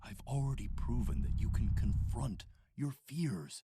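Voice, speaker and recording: A man playing a manipulative, middle-aged doctor in a radio drama, with a measured, clinical and coaxing way of talking. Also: mid-range voice, noisy background, natural voice. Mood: intense. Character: calm, manipulative, sinister male doctor running an institute.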